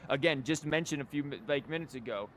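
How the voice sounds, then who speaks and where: A man's voice: on the high side, quick pace, a bit bright, a little hiss or hum. A man doing casual live game commentary as a streamer, rambling and wry. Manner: wry, rambling, mock-quoting. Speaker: game livestreamer.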